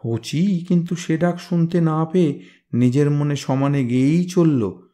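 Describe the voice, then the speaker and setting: Slow, measured male storyteller. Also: fairly high pitch. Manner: measured, expressive. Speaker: storyteller, reader. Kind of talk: dramatic scripted narration of a folktale.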